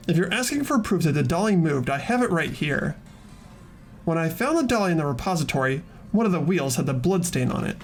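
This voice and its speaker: A man voicing an earnest teenage boy detective who reasons deliberately through clues in a fan-dubbed game. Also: a little high. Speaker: amateur voice actor in a group playthrough. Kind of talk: fan dub of a visual novel game.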